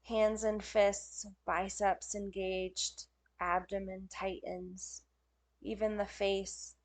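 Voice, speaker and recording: Slow-paced woman guiding meditation. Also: medium pitch, flat delivery. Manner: gentle, unhurried, soothing instruction with pauses. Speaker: meditation teacher. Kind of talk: guided yoga nidra meditation.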